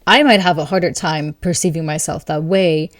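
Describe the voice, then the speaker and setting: Calm low-voiced woman talking to camera. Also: normal speed, no room sound, studio quality. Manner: calm, thoughtful, laughs softly between thoughts. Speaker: astrology video host. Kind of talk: casual spoken reflection to camera.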